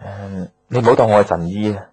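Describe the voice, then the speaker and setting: A man's voice: fairly low pitch, brisk, darkish tone, husky. An actor playing a modest, practical male herbal doctor in a radio drama, matter-of-fact, with a Hong Kong Cantonese accent. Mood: calm, cautioning. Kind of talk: naturalistic radio drama dialogue.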